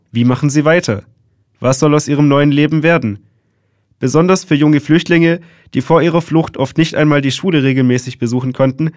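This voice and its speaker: A man presenting a radio program, reading a scripted introduction in a measured, clear announcing manner. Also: a little high, darkish tone, no echo, clean studio sound.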